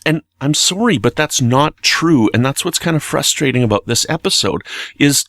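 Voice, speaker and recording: A man with a mid-pitched voice talks through his opinions as a podcast commentator. He is earnest and emphatic, halting at times as he works out his point. The sound is close and dry, with no room echo. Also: animated, fairly bright tone. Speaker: podcast commentator reviewing a TV episode.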